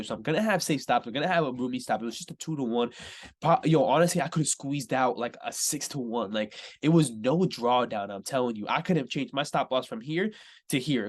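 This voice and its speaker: Casual young man breaking down a trade. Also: medium pitch. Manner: casual, says "right?" constantly, excitable. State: a little congested. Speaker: forex trading mentor. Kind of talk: screen-share chart breakdown.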